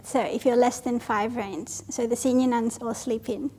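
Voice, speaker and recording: A Buddhist nun, reading out audience questions at a retreat Q&A, light and self-deprecating with frequent laughter. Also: female voice, on the high side, steady tone, moderate pace, mellow. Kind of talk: Q&A panel at a retreat. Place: retreat hall with a live audience.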